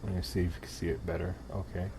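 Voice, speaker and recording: Male tutorial presenter, deep register, casual step-by-step demo talk, slightly noisy home-style recording. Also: fast talker. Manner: informal, step-by-step, talking while demonstrating. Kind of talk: casual software tutorial narration. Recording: clear steady background noise.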